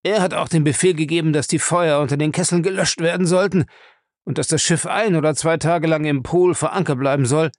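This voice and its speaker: Guy doing a cowardly beaten crook for an audiobook, high strained pitch, desperate and gaspy, dry sound. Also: fairly quick, no echo, natural voice. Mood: cowed. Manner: gasping, moaning, desperate. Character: cowardly beaten crook, defiant, then terrified and pleading. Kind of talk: audiobook character acting.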